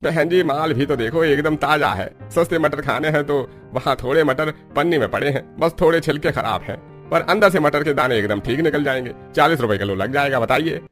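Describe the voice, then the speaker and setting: A man's voice: fairly high pitch, fairly quick, a bit bright, lightly acted. A man playing a rude, grumpy street trader and moneylender in a cartoon story, with a brusque, scolding manner. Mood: gruff, haggling. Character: grumpy, rude male vegetable seller and bullying moneylender. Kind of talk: Hindi cartoon story acting. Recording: music behind the voice.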